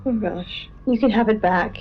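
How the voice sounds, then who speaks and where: An actress playing an earnest female late-night radio co-host and dream interpreter, speaking in a measured, tentative way. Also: mid-range voice, highs cut off, natural voice. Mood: tentative, puzzled. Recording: band-limited radio sound over music.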